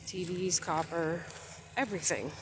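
A woman, narrating a casual handheld vlog outdoors in the desert, offhand, cursing now and then. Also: on the low side. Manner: slow, offhand, cursing and laughing. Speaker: vlogger exploring. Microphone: phone camera held by the speaker. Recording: outdoor phone audio with clear wind noise.

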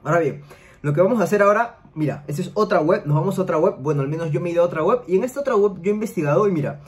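Brief: young male host, high voice, rapid-fire screen-share explainer at home desk webcam. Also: animated. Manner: rapid explainer, tag questions. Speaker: money-making YouTuber. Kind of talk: screen-share tutorial talk. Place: home room, seated in gaming chair.

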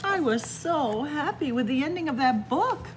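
A woman reading a picture book aloud as a library story time host, expressive and pausing deliberately between phrases. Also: sits high, a little hiss or hum. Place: indoors in a library, among bookshelves.

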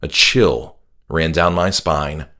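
A man reading a scripted first-person horror story as an audiobook narrator, in a measured, dramatic way. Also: rumbling low, pushed and bright. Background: music.

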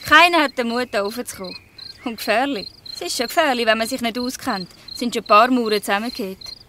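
A woman plays a bold teenage girl who runs a gang, in a Swiss German dialect radio drama. Her voice sits high and gives orders briskly and defiantly. A little noise lies behind it. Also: close to an everyday voice. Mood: matter-of-fact. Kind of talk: radio play acting in dialect.

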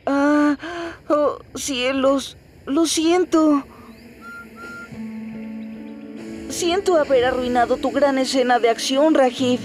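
A cheerful young male steam engine in a Latin American cartoon dub. The voice is high, earnest and polite, always keen to help. Music plays behind it. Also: steady tone, slow, darkish tone. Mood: apologetic. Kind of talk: animated children's series dub.

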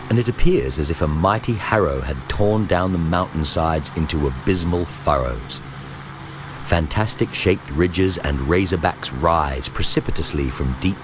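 Very deep-voiced man narrating a memoir. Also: background noise. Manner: measured, formal storytelling. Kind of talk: scripted audiobook-style narration of a historical journal.

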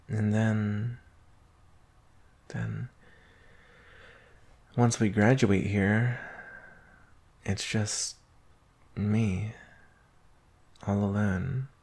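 Man acting a husky, lovestruck young werewolf. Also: on the low side, a little mellow, heavily acted. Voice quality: husky, breathy. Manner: hesitant, halting, intimate. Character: anxious lovestruck young male werewolf, devoted and vulnerable. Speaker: roleplay voice actor. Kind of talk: intimate ASMR romantic roleplay.

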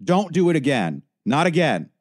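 A man's voice: sits low, fairly even intonation, normal speed, dark tone, no echo, studio quality, lightly acted. A man voicing a story's troubled male caller, curt and paranoid, with an agitated, sarcastic, demanding manner. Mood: furious, paranoid. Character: Dan, curt, paranoid, sardonic suicidal man on phone.

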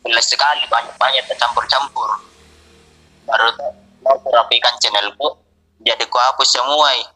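A man from Indonesia chatting casually as a call guest, giving brief, low-key answers over a phone speaker. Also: steady tone, normal speed, pauses often, pushed and bright, poor audio. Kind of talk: casual chat. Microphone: phone call played over speaker.

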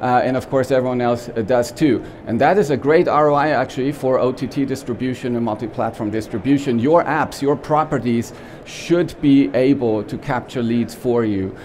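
A man in his forties from Austria, with an Austrian German accent, giving a lively, joking trade-show talk full of fillers and restarts, on a small expo stage. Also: mid-range voice, moderate pace, slight background noise. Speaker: company CEO giving a conference talk.

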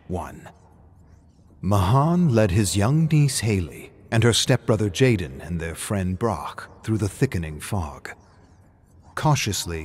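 A man's voice: fairly low pitch, slight background noise. A man reading a scripted story aloud as a narrator, with theatrical, suspenseful delivery. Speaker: narrator reading game lore.

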